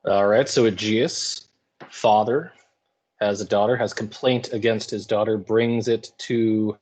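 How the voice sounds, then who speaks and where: A man giving an informal lecture as a high school English teacher, explaining in colloquial paraphrase. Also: steady tone, measured pace, a little mellow, poor audio.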